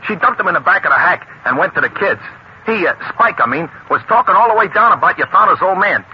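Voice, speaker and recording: High, fast-talking, excitable cabbie sidekick. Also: male voice. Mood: eager, impressed. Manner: rambling, repetitive, slangy, ungrammatical. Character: excitable, chatty, good-hearted cab driver sidekick. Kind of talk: 1940s radio comic-relief acting. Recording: muffled, noisy old radio broadcast.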